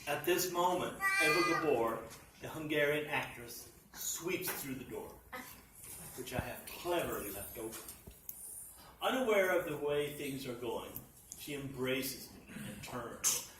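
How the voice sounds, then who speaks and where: A man narrates a comic short story to a live gathering with deliberate, dramatic emphasis. His voice sits at a middle pitch. The recording is distant and echoey, with clear background noise. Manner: theatrical, deliberate emphasis with sudden swells. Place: library function room at a live reading. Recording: echoey room, distant mic, noisy.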